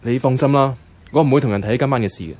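A man's voice: mid-range voice, racing, a little mellow, lightly acted. A man playing an easygoing, teasing office colleague in a Hong Kong Cantonese radio drama, casual and coaxing. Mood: casual, probing.

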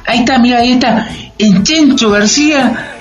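A man playing a naive, cocky ice-cream vendor with a Latin American voseo accent in a comic radio drama, talking in an excitable, colloquial way. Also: very high voice, normal speed, highs cut off. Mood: confident, excited. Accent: Latin American Spanish with voseo. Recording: echoey radio drama with music behind.

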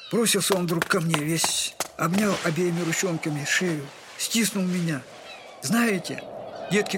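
Humble, wounded captain, high-pitched. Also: male voice, background noise. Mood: grieving. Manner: servile old-fashioned speech, effusive and halting. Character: humble, wounded retired captain, a loving poor father. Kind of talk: dramatic monologue in an audio drama.

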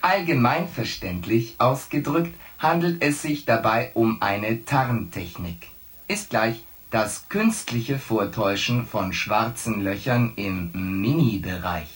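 An actor playing a coolly logical ship's artificial intelligence in a science fiction radio play, with stilted, emotionless phrasing. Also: male voice, medium pitch, expressive, slow pace. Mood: matter-of-fact.

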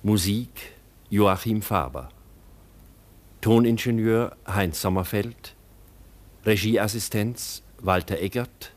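A male radio announcer with a lowish voice reads credits at a very slow, deliberate pace. The delivery is formal and measured.

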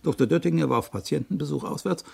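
An actor playing a genteel older small-town pharmacist in a 1960s radio play, formal and deferential, with a gravelly, husky voice. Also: male voice, on the high side, quick pace, darkish tone, full character voice. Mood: approving.

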